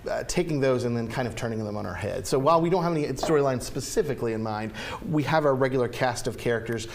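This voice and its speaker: Halting American man as interview guest. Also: medium pitch, vocal fry, a little hiss or hum. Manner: halting, repeats words as he searches for phrasing. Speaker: interview guest, theater company co-founder and writer. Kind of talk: casual interview chat.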